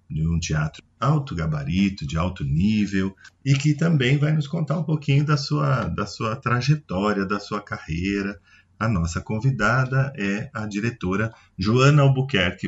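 A man hosting a radio interview, chatty and given to easy laughter. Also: lowish voice. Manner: chatty, warm-toned banter with frequent laughs. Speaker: radio show host. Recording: muffled radio recording with faint music.

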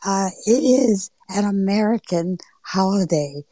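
A middle-aged woman with a mild accent between Southern and Northern American, speaking in an unhurried storytelling way in a roundtable discussion. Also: mid-range voice, lively intonation, no echo. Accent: between Southern and Northern US, neither strong. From Georgia and New York, US. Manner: unhurried storytelling with drawn-out phrases and soft asides. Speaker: panel speaker. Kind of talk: roundtable discussion on a live audio forum.

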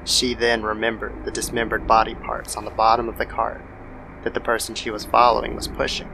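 A man's voice: fairly low pitch, monotone, fairly quick, gravelly. A man narrating a scripted horror story as an old-time style radio drama. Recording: noisy background ambience.

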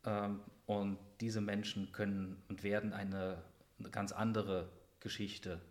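Man, fairly low voice, interview guest, halting and deliberate, formally worded. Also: little pitch movement. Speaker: interview guest, bookshop owner. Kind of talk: reflective interview talk.

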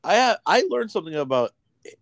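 Deadpan American guy, dry sound. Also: high voice, quick pace, vocal fry, no room sound. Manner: crude deadpan joking. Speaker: podcast co-host. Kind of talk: casual podcast chat.

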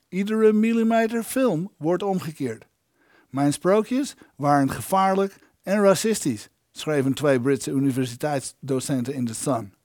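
An actor playing the indignant ghost of an elderly film maker in an audio drama, wordy, aggrieved and theatrical. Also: male voice, high-pitched, a little mellow, no echo, studio quality.

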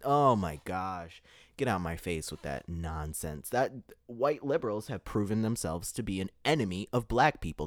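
A man's voice: mid-range voice, dry recording, clean studio sound. A man hosting a podcast, delivering a heated opinion monologue with emphatic restarts. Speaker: podcast host.

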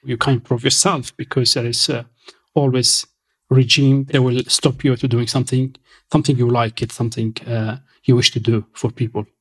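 A man in his thirties with a Syrian Kurdish accent talks as an interview guest. He speaks English haltingly, leaning on fillers and restarting his sentences. His voice sits in the ordinary middle range for a man. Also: medium pitch, flat delivery, slightly rough. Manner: hesitant, many fillers and restarts. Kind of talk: casual interview.

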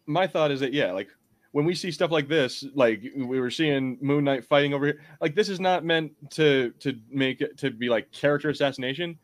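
A man's voice: on the high side, brisk. Easygoing-worded man in casual podcast debate. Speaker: podcast panelist.

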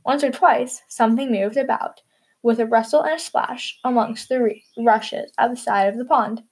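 Fairly high-pitched woman reading a children's story. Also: steady tone, moderate pace, slightly rough.

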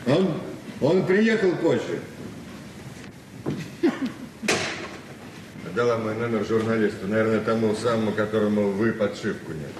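A man's voice: fairly low pitch, animated, brisk, natural voice. An actor in a radio play as a modest, polite man away on a work trip, plain-spoken and understated. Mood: put out. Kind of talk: naturalistic Soviet radio drama acting. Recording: echoey, distant, noisy 1970s radio recording.

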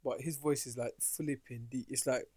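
A man's voice: medium pitch, fairly even intonation, moderate pace, darkish tone, husky, no echo. A young man co-hosting a podcast, teasing his friend in laid-back, slangy chat. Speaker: podcast co-host. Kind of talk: casual chat.

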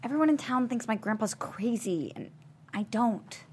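A woman's voice: a little high, animated, moderate pace, darkish tone, slight background noise, natural voice. A woman playing a plucky teenage girl, loyal and eager, in a script table read. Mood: earnest.

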